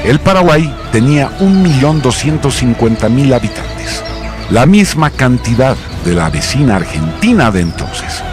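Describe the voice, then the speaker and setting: A man's voice: medium pitch, sing-song, unhurried. A man narrating a radio drama from a script in a solemn, declamatory, dramatic way. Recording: loud music bed under the narration.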